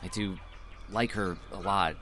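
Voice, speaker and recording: An actor playing a loyal, supportive young man in an audio drama, with a gentle, halting delivery. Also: deep voice, steady tone, noisy background. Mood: earnest, gentle. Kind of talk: audio drama dialogue acting.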